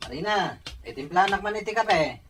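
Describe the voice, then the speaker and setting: A man's voice: high-pitched, expressive, moderate pace, noisy background. Radio drama role: exasperated Ilocano father, blunt and complaining, shirking his duties. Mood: demanding. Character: exasperated, irresponsible father of young children.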